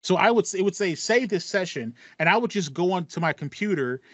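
Man, thirties, slightly high pitch, casual podcast host, acts out thoughts, dry close sound. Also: lively intonation, a little fast, a bit bright, no room sound. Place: small room at home with acoustic foam, indoors. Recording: slightly dull, band-limited audio.

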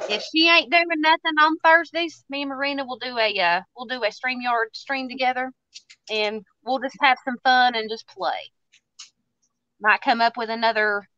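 A woman's voice: on the high side, bright, forceful tone, dry recording, dull audio. A woman in her thirties with a Southern US accent, chatting and joking casually while hosting a craft livestream. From Tennessee, USA. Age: 30s. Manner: chatty, joking, self-mocking.

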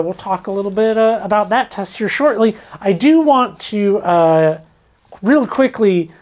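A man's voice: high voice, darkish tone. A man in his thirties gives an informal, self-deprecating explainer as a channel host, talking to camera. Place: cluttered indoor workshop. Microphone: lavalier clipped on shirt.

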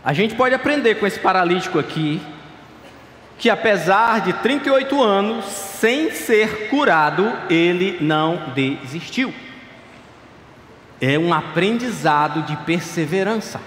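A pastor in his thirties preaching a sermon at a pulpit, passionate and pleading, dropping to quiet asides. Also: high voice, expressive, a little slow, slight background noise. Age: 30s. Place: large dark church stage at a pulpit. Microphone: stand mic at a clear pulpit. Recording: echoey church hall, faint ambience.

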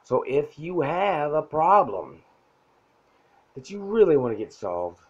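A soft-spoken man giving a blunt, profane, pushy motivational talk straight to the camera as a self-help vlogger. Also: fairly high pitch, sing-song, slow pace, husky, highs cut off. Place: indoor room at a whiteboard. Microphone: phone camera mic.

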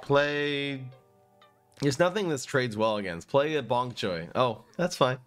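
A man's voice: mid-range voice, animated, unhurried, fairly bright tone, soft background music. A man in his thirties casually commentating his card game on a live stream, joking and rambling as he plays. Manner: joking, rambling, often laughs at himself. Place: small room indoors.

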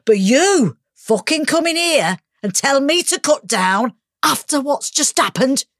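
A woman's voice: high voice, expressive, measured pace, no echo, clean studio sound. A woman playing a bitter, hard-drinking working-class mother with a Northern English accent, blunt and sweary, in a gritty audiobook drama. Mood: angry, accusing.

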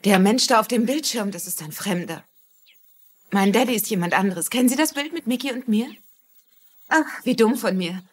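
An actress playing a sad, guarded young daughter in a dubbed TV crime drama, with a husky voice. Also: female voice, a little high, expressive, normal speed. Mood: subdued, wistful.

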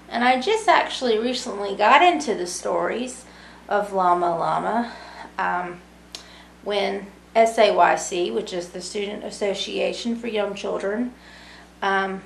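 Slow-paced woman reading to children, echoey room. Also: mid-range voice, vocal fry. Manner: simple, child-directed talk with tag questions. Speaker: student volunteer reading a picture book to children. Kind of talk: storytime read-aloud for young children. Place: small room with brick wall, indoors. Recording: echoey room with background noise.

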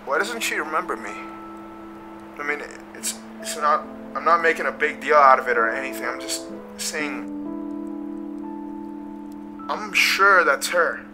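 An actor playing a wary young man who narrates in first person, hesitant and full of ums, haunted by nightmares. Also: fairly high pitch. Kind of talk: audio drama narration.

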